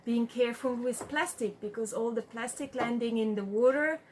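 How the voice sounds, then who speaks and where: A woman's voice: a little high, moderate pace, a little hiss or hum, poor audio. A woman from Switzerland, a diver, giving plain, practical advice.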